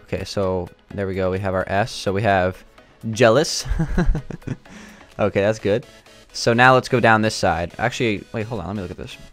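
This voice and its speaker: A young man with a slightly raspy, sore throat, thinking aloud with lots of fillers as he builds in a game. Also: fairly low pitch, moderate pace, fairly bright tone, soft background music. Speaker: gaming channel host. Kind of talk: casual gameplay commentary.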